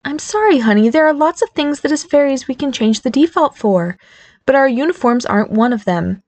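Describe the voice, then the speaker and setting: Woman playing a firm, animated fairy mother. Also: high-pitched, brisk, no room sound, voice pushed up. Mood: soothing. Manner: insistent, soothing yet firm. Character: firm, adamant fairy mother, sympathetic yet stern. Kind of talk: audiobook character acting.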